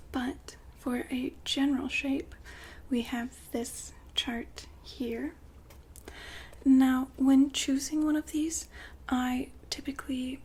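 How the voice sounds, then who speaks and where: Casting: actress for a polite sci-fi shop consultant in ASMR roleplay, high female voice, whispered, slow and soothing. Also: slight background noise. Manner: polite, unhurried, softly whispered customer-service talk. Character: courteous, soothing saleswoman at a futuristic fembot-building shop.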